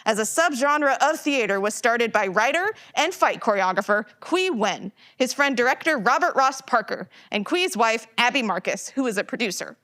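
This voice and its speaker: High, animated geeky woman enthusiast played by an actress. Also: moderate pace, hardly any pauses. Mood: eager, explanatory. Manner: animated, emphatic, slangy explainer. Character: enthusiastic, geeky woman gamer eagerly explaining fan culture. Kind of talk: live audio play dialogue.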